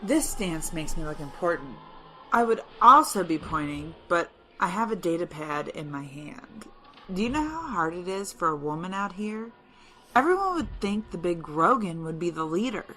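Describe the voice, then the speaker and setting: A woman acts out a self-important space rebel leader in a comic radio play. Her voice is lowish, bright and forceful, with lively swings and a defensive edge. Faint noise runs behind it. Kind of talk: amateur comic audio drama.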